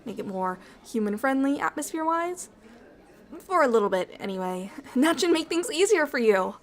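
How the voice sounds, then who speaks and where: A woman voicing a bubbly, friendly alien ambassador in an ASMR roleplay, chatty, excitable and quick to apologise. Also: sits high. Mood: bubbly, warm.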